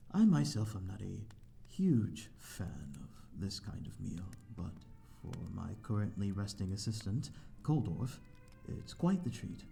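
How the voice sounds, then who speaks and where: A lonely gentleman vampire, acted by a man, speaks low with a heavy gravelly creak. His phrasing is formal and polite, with hesitant little pauses, as in an intimate ASMR roleplay. Soft music plays behind him. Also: heavily acted. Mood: genteel hospitality. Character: courteous, lonely old-fashioned male vampire living in a manor. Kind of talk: slow ASMR audio roleplay, addressing the listener.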